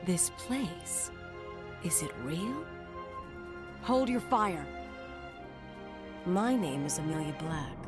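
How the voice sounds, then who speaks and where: A woman's voice: lowish voice, animated, slow, pauses often, music in the background. An actress playing a determined, vengeful woman adventurer leading an expedition in a video game, terse and resolute. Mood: guarded. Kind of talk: cinematic video game voice acting, part narration.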